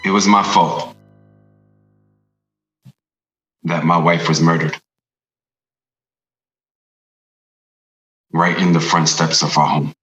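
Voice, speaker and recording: An actor with an American accent playing a guilt-ridden, hard-drinking former Marshal and veteran, brooding and halting through a confessional dramatic monologue. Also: male voice, extremely low pitch, steady tone, fairly bright tone. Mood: bitter, remorseful. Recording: roomy echo under music.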